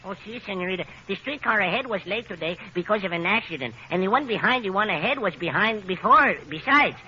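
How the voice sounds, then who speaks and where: A man's voice: sits high, lively intonation, fast, bright, forceful tone, lo-fi sound, full character voice. An actor playing a cheerful, muddled Mexican handyman in 1940s radio comedy, with a Mexican accent and long-winded explanations. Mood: earnest, muddled. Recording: scratchy, band-limited 1940s radio recording.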